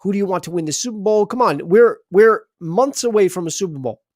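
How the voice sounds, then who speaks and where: A chatty man hosts a sports livestream and rambles through his sign-off. His voice sits a little high for a man. The sound is dry and close. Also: expressive, a little fast, a little mellow, dry recording. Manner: rambling, chatty, many restarts. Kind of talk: casual sports livestream talk.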